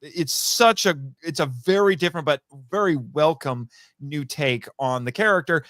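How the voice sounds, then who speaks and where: Chatty man with a slightly high voice. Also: moderate pace, fairly bright tone, no room sound. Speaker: podcast guest, voice actor. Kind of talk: casual enthusiastic interview talk.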